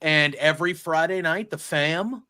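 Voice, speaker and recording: A man in his forties with a bright, ringing tone and a voice sitting a bit high. He chats casually, rambles through stories, laughs often and swears freely. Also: measured pace. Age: 40s. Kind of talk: casual chat.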